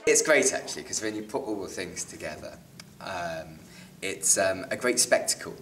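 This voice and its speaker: A man's voice: low-pitched, lively intonation, normal speed. A man giving a casual interview reaction to a show. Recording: echoey, distant, loud music behind. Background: loud music.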